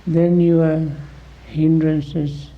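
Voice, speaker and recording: Slow, gravelly man teaching meditation. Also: a little high, level tone, lo-fi sound. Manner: deliberate, instructive, with long pauses between short phrases. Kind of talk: guided meditation instruction.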